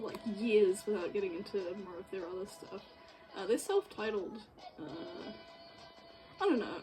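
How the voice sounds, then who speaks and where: A woman giving casual, unscripted commentary while working on screen, rambling and halting as she goes. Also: mid-range voice, vocal fry, rough recording. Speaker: game developer recording a work-in-progress video. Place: bedroom, indoors.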